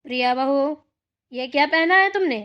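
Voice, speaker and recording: Woman voicing a high-voiced, bossy mother-in-law. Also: normal speed, highs cut off, natural voice. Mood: bossy, scolding. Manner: homely, rambling, instructing. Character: bossy, chatty older village mother-in-law. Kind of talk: amateur Hindi family cartoon dialogue.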